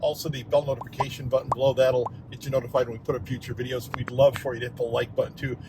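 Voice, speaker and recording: A hoarse man with a Midwestern American accent, hosting a casual shopping vlog and chatting about prices. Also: medium pitch, little pitch movement, rapid-fire, noisy background. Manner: chatty, talks prices aloud. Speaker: vlog host. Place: car, then grocery store aisles.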